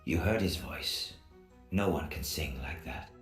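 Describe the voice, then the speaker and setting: A man playing a grave, secretive Persian stranger in an audio drama, speaking formally and deliberately in a hushed voice that often drops to whispers. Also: very deep voice, animated. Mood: hushed, insistent. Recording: echoey, roomy sound with music.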